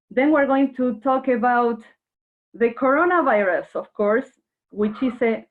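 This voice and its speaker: A woman from Argentina giving an earnest workshop presentation online, speaking directly to her audience. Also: fairly high pitch. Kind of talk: webinar presentation. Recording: narrow phone-like online call audio.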